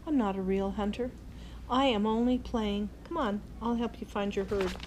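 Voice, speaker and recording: A woman's voice: medium pitch, steady tone, moderate pace, noisy background, lightly acted. Older woman as an eager, polite little storybook boy. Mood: earnest, reassuring. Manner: earnest, polite.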